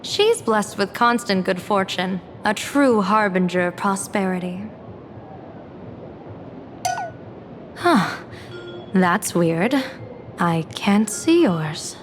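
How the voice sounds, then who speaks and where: An enigmatic female fortune-teller and general from a video game. She talks slowly and theatrically, musing with deliberate pauses and a playful edge. Music plays clearly behind her. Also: medium pitch, lively intonation. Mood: musing, teasing. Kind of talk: English video game voice acting. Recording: music under the voice.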